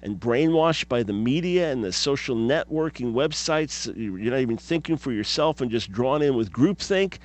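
A man's voice: mid-range voice, expressive, normal speed, hardly any pauses. A man in his fifties hosting a radio talk show, speaking emphatically and asking rhetorical questions. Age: 50s.

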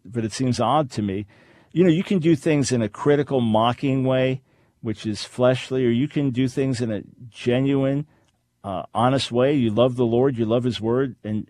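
Animated preacherly male radio host. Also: medium pitch, no room sound. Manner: animated, preacherly, acts out quoted voices. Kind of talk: call-in radio show talk. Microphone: studio microphone.

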